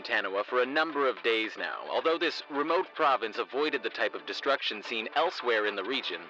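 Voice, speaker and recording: An actor playing a serious foreign news correspondent in a video game, delivering a formal scripted report. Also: male voice, medium pitch, bright, forceful tone, muffled recording. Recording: band-limited broadcast sound, music behind.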